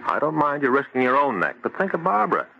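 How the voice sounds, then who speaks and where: An actor playing a sensible, exasperated friend in an old radio horror drama, talking bluntly and scoffingly. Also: male voice, medium pitch, big pitch swings, quick pace, gravelly. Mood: teasing. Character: sensible, exasperated male friend and future brother-in-law. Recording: dull, noisy vintage radio recording.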